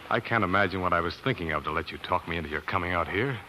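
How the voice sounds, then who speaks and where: A man's voice: sits low, very fast, sharp tone, a little hiss or hum, low-quality recording. An American man acting in a 1940s radio drama as an earnest, grief-stricken doctor telling his own tragic story, on a vintage broadcast recording. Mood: earnest, weary.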